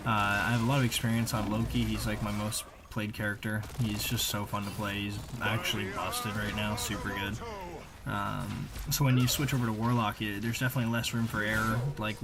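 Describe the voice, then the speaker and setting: Man, fairly low pitch, casual gaming commentary, rambling delivery, informal slang. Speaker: gamer commentating his own gameplay. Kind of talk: casual voice-over gameplay commentary.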